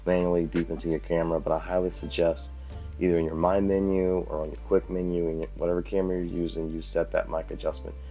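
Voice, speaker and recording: A man with a very low, deep voice talks through a casual video tutorial in a plain-spoken way, often repeating himself for emphasis. He speaks into a lav mic at a home desk, with faint music in the background. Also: little pitch movement, fast talker, dark tone. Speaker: YouTube creator teaching video basics. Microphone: lav mic, wired then wireless.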